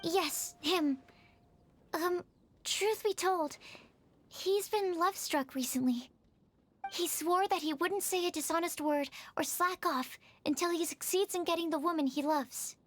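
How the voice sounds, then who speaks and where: A woman playing an earnest, shy young female alchemist in a video game, polite and bookish with a soft-spoken, hesitant manner. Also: very high voice, measured pace. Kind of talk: video game cutscene dialogue. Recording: faint music behind the voice.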